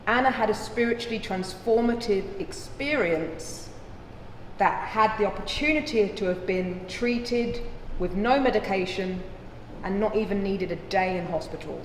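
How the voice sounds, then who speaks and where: Woman playing deliberate trial lawyer, very echoey hall. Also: medium pitch, close to an everyday voice. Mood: impassioned. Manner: deliberate, rhetorical, persuasive. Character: impassioned female trial lawyer addressing a jury. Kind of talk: courtroom dramatization speech. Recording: echoey hall with faint noise.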